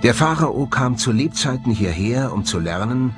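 A man presenting a documentary in scripted narration, with measured, rhetorical, emphatic phrasing. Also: mid-range voice, animated, loud music behind the voice.

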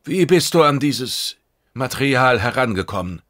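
A man's voice: medium pitch, animated, normal speed, fairly bright tone, pitched above the performer's own voice, close to an everyday voice. A man voicing an anguished, commanding male ruler in a science fiction audiobook, abrupt and emphatic. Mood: agitated, stern. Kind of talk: audiobook character dialogue.

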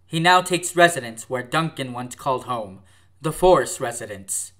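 A man's voice: mid-range voice, expressive, normal speed, fairly bright tone. A man reading scripted narration as the narrator of a radio drama.

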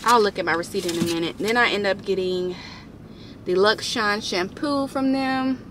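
A woman's voice: mid-range voice, normal speed, background noise. A young woman, chatting casually to her vlog viewers from her parked car. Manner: chatty, addresses viewers as y'all. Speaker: vlogger. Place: parked car, then large store.

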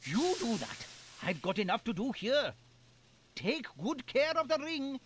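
A man's voice: very high voice, big pitch swings, a little slow, fairly bright tone, a little hiss or hum, exaggerated voice. An actor playing a pompous old male gnome commander in a game, blustery and theatrical.

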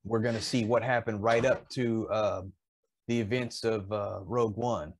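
A man in his fifties chatting casually as a podcast co-host, often pausing mid-thought. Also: fairly low pitch, steady tone. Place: indoors in a home collectibles room.

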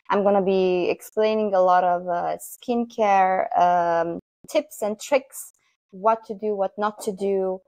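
A woman's voice: medium pitch, a little mellow, dry recording, low-quality recording. A woman in her thirties with a Romanian accent, a guest dermatologist talking emphatically with many fillers on a video call. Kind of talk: casual interview chat. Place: indoor room with sofa. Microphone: lapel mic on remote video call.

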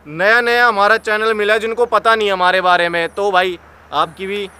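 A man's voice: high-pitched, little pitch movement, moderate pace, bright, forceful tone. A man, chatting casually to camera as a vlog host, explaining things step by step in colloquial speech. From India. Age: 20s. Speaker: host of a haunted-location exploration vlog. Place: large derelict factory and overgrown grounds at night. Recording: echoey derelict building with clear background noise.

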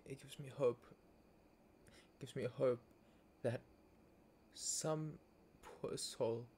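A young man talks casually to a friend over a voice call, teasing and joking. Now and then he drifts into a hushed, mock-dramatic musing. A faint hiss sits under the voice. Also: medium pitch. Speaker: gaming video creator.

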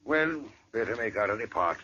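An actor playing a blunt, gruff police officer with slangy talk, in 1940s radio acting style. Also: male voice, lowish voice, animated, moderate pace, pushed and bright, heavily acted. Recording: dull old radio recording with music.

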